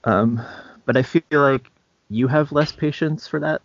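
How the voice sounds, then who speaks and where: A man co-hosting a podcast, chatting casually in loose, hesitant phrases with many pauses. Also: a little high, no echo. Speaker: podcast co-host. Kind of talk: casual chat. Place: podcast recording. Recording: dull lo-fi, very dry sound.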